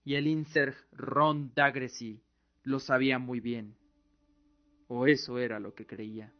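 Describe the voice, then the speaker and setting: A man narrating a scripted fantasy radio drama in a solemn, measured way. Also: medium pitch, steady tone. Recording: dull lo-fi recording with music. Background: music bed.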